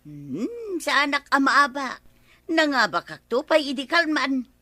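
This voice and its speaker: An actress with an Ilocano accent playing a crafty village wife with a gambling habit in a radio comedy drama. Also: female voice, sits high, big pitch swings, a little slow, sharp tone, dry recording, full character voice. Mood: defensive.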